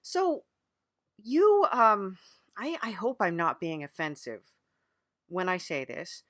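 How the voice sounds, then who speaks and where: A woman with a medium-pitched voice hosts a casual comedy podcast interview. She asks her questions hesitantly and with a straight face. The recording is close and dry. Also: huge pitch swings, takes it slowly, fairly bright tone, no room sound.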